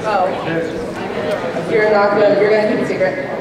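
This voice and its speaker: A man speaks from a distance in a very echoey convention hall, with a crowd behind. He puts a question to the panel in a casual, halting manner. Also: sits high, level tone. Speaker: audience questioner.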